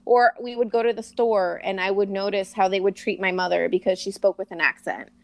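A woman from Puerto Rico in casual chat, blunt and quick to swear and laugh. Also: medium pitch, steady tone, vocal fry, a little mellow, no room sound.

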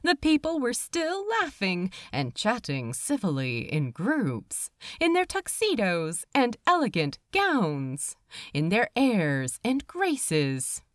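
Woman, audiobook narrator, slow pace, animated intonation, dramatic storytelling, scripted fiction. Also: mid-range voice, no room sound, studio quality.

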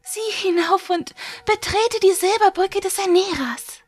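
A woman acts out a fanatical cultist woman from a horror audio story, extremely high and slow. She commands with gleeful, trembling reverence, like a solemn chant. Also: dry recording. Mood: ecstatic, commanding. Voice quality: trembling with lust.

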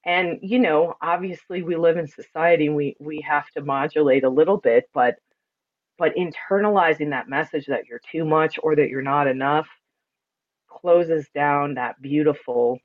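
A woman with a deep, resonant, loud voice talking expressively and reflectively in a conversational interview, with earnest emphasis. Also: normal speed, phone-quality sound. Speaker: podcast guest, spiritual sound and ceremony practitioner.

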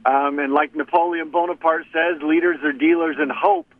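Phone-in guest: a fifties man who is a sheriff, earnest and plain, slightly high voice, tinny line. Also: steady tone, moderate pace, no echo. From Arizona. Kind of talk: casual chat over the phone.

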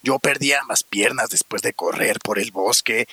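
Forceful, gravelly pleading man voiced by an actor. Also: medium pitch, moderate pace, no echo. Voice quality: sharp, gravelly, husky. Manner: pleading, earnest. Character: weary male war survivor pleading for mercy. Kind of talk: Spanish-language radio drama acting.

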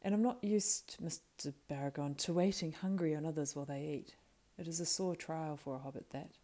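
A woman voicing a young male hobbit, frightened, grumbling and excitable, in a plaintive, exclaiming way for a storybook reading. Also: low-pitched, brisk, close to an everyday voice. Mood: grumbling, uneasy.

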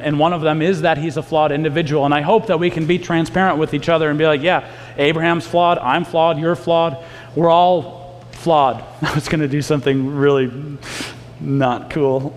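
Joking pastor in a reverberant hall. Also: male voice, on the high side. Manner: joking, self-deprecating, frequent restarts. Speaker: pastor teaching a Bible study. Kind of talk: casual conversational sermon.

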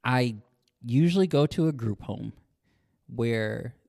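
A man's voice: medium pitch, takes it slowly, gravelly, a little mellow. Young American man in casual podcast chat. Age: young adult. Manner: slangy, swearing. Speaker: podcast co-host.

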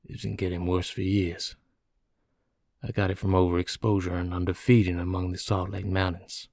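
A man voicing a calm, weary, doomed prisoner who confesses slowly and methodically in an audiobook. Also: low voice, gravelly, no room sound, studio quality. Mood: placid, resigned. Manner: slow, placid, methodical. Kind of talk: audiobook character dialogue.

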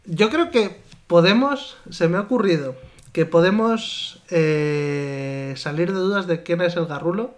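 Podcast co-host, a man, high voice, chatty and quick to laugh. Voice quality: higher voice than his co-host. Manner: laughs often, reads listener comments aloud. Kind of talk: casual chat.

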